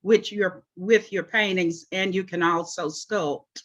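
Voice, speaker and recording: A woman in her seventies, originally from Oklahoma, answering interview questions about her life in an unhurried way with frequent pauses. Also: fairly low pitch, fairly even intonation, muffled recording. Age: 70s. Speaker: interview guest, artist.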